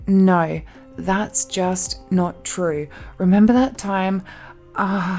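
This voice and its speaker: A woman acts out a possessive, devoted dragon-girl lover in an intimate audio romance. She speaks very slowly and haltingly, in a mellow, medium-pitched voice full of feeling and sighs. Music plays behind her. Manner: breathy sighs, halting, emotional delivery with murmurs and soft laughs. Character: possessive, adoring dragon-blooded fiancée, romantic and remorseful. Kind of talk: intimate romantic audio roleplay addressed to the listener.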